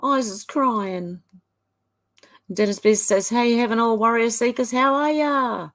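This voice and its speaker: A woman's voice: fairly high pitch, a little slow, a bit bright. A woman hosting a casual live stream, reading viewer comments aloud in a chatty run with small fillers. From Australia. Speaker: livestream host.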